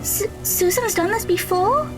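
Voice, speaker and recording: A woman's voice: very high voice, lively intonation, unhurried, over music, poor audio. A woman playing a concerned, loyal teenage girl in an audio drama. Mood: worried, guilty.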